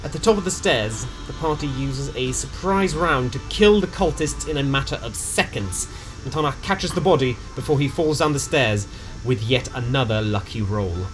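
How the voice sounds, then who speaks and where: A man with a medium-pitched voice tells the story of a tabletop game session. His delivery is dramatic yet casual, with bits of slang along the way. Music plays clearly behind him throughout. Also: expressive, moderate pace, rough recording. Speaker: narrator of a tabletop game recap. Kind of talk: narrated story recap, semi-scripted, casual.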